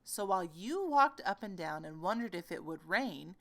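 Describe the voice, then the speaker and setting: A woman narrating a children's story in an expressive, animated storytelling manner. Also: lowish voice, normal speed.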